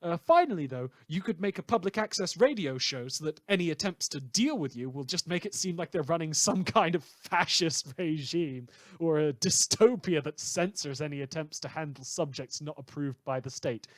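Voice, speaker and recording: A man's voice: on the high side, lively intonation, normal speed, dry recording, lightly acted. A man playing a chirpy, wry radio host in a scripted audio drama, with theatrical emphasis. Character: chirpy male pirate radio host devoted to a goddess. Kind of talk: scripted fictional call-in radio broadcast.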